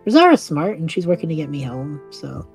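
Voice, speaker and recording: A woman's voice: low voice, big pitch swings, creaky, darkish tone, exaggerated voice. A woman playing an earnest, awkward young male magic student, speaking hesitantly in an improvised audio drama. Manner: hesitant, halting. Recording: faint music behind the voice.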